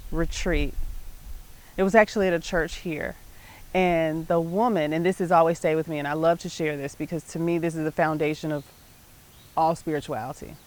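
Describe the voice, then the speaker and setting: A middle-aged American woman hosting her show, telling personal stories about life and faith in a conversational, emphatic way. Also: low voice. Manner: conversational, emphatic, often checking in with "right?". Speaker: show host.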